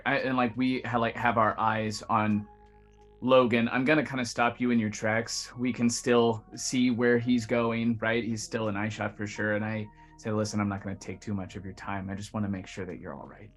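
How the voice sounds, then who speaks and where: Low-key man with an even, flat delivery. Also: moderate pace, soft background music. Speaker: tabletop game player. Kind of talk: casual improvised roleplay.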